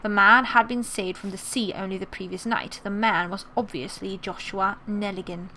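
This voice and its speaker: A woman's voice: medium pitch, steady tone, moderate pace, pushed and bright. Girl doing casual game commentary, mixed american-british accent, pretty noisy audio. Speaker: gaming video host. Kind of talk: casual game playthrough commentary, reading game text aloud.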